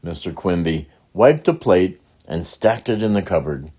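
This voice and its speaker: Male reader for children's chapter book, raspy tone, animated and expressive delivery. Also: deep voice, unhurried. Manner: acts out character dialogue. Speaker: audiobook reader. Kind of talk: expressive children's storybook narration.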